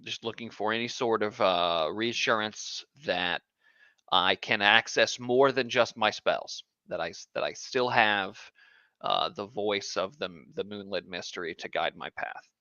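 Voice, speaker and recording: A man's voice: fairly low pitch, a little slow, bright, forceful tone, no echo, highs cut off. A man playing a cleric in a tabletop game, speaking in a hesitant, searching way with many fillers. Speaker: player.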